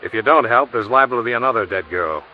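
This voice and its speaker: An actor playing a tough, sardonic insurance investigator in a clipped 1950s radio noir style. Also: male voice, mid-range voice, fast, natural voice. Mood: businesslike. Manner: clipped, sardonic, hard-boiled. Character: tough, wry male insurance investigator, hard-boiled narrator. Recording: muffled, noisy old radio recording.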